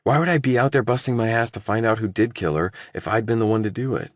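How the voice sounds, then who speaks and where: A man's voice: fairly low pitch, fast, no echo, clean studio sound, voice pushed up, lightly acted. A man voicing a defensive, desperate young murder suspect in an audiobook, earnest, blunt and insistent. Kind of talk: audiobook character dialogue.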